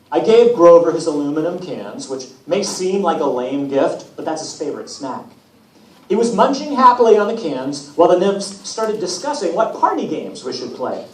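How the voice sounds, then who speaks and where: An echoey, distant recording of a man reading as a wry teenage boy demigod telling his story. The voice is fairly high and swings widely in pitch, with self-deprecating, put-upon humour. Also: a little mellow. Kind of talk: first-person storybook reading. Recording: echoey room from far off, hissy noise.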